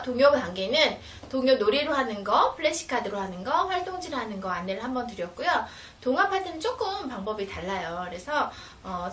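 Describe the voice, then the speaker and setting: A woman with a fairly high, lively voice walks parents through teaching materials step by step. Her delivery is informal and patient. She is recorded a little away from the mic in an echoey room. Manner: patient, step-by-step, acts out mother-child example dialogue. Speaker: educator presenting teaching materials. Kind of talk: informal explanatory product demonstration for parents. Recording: roomy, distant mic, faint noise.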